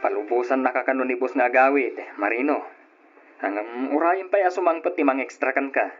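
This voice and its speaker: A man's voice: medium pitch, brisk, soft background music, close to an everyday voice. Guy acting easygoing kind buddy from work, medium voice, dull old radio drama audio. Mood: friendly. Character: kindly, easygoing male workmate and buddy.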